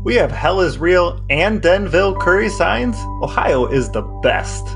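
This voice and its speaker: A man's voice: high-pitched, animated, measured pace, vocal fry. An American man co-hosting a podcast, reading messages aloud and talking. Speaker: podcast co-host. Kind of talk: dramatic reading of text messages and podcast talk. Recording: guitar music behind the voice. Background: guitar music.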